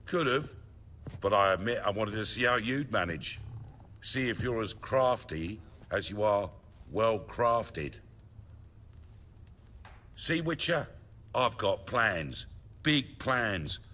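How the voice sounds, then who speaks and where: An actor playing a confident, scheming middle-aged underworld boss in a fantasy video game, speechifying in measured, colloquial English. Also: male voice, lowish voice, animated, sharp tone, heavily acted. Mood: sly, confident. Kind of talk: dramatic video game dialogue.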